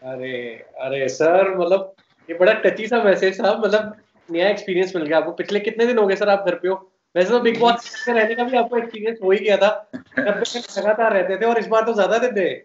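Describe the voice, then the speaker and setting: Male vlog host, high voice, effusive and colloquial, recorded in a roomy, echoing space. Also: moderate pace. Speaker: vlogger and interviewer. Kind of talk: casual chatty vlog hosting. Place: at home, on a video call. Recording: echoey room, dull noisy sound.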